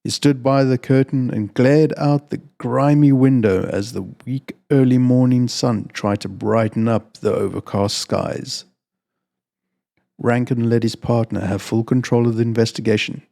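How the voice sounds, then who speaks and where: A man's voice: medium pitch, gravelly, warm tone. A man presenting and narrating fiction as a storyteller. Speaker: storyteller, channel host reading own fiction. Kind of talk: casual introduction, then audiobook-style story narration.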